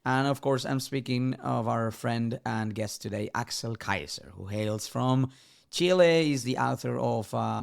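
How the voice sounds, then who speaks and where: Gravelly male podcast host. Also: mid-range voice. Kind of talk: conversational interview hosting.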